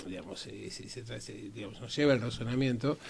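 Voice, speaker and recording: A man with an Argentine accent speaking in measured, formal words in a panel discussion. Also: medium pitch, creaky, slightly rough. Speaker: panelist on a history programme.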